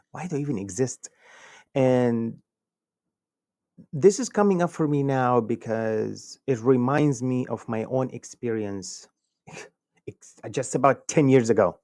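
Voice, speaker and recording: A man in his forties from Egypt, a podcast guest telling a personal story in an animated way, chuckling often. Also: mid-range voice, slow, long pauses, no room sound, studio quality. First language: Egyptian Arabic. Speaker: podcast guest, communication researcher. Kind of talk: conversational storytelling.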